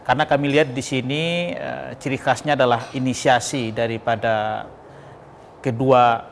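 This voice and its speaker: A man's voice: medium pitch, fairly even intonation, normal speed, creaky. A man giving earnest, wordy explanations as an interview guest, listing points one by one. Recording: echoing hall, noisy background.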